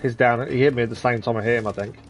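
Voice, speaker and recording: A man's voice: mid-range voice, fairly even intonation, racing, music in the background. A man in his thirties giving live gameplay commentary, chatting with viewers and muttering at enemies as he plays. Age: 30s. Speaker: streamer.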